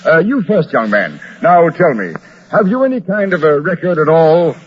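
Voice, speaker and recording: A man's voice: fairly high pitch, sing-song, normal speed. An actor playing a pompous, genial con man posing as a colonel, with flowery, hesitating speech, in a 1940s radio drama. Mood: smug, genial. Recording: noisy, dull old-time radio recording.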